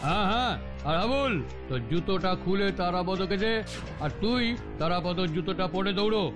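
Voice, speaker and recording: A man playing a cunning, excitable sports coach in a cartoon dub, emphatic and given to repeating words. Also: high-pitched, brisk, music in the background, close to an everyday voice. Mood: coaxing. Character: scheming male running coach, excitable and cunning. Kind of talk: cartoon dubbing.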